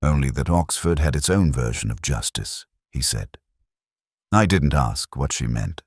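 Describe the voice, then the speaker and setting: A man playing a reflective, melancholy middle-aged detective, narrating his case in measured, solemn literary prose. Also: rumbling low, animated, breathy edge, no echo, studio quality, exaggerated voice. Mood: brooding, reflective. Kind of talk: literary first-person scripted narration.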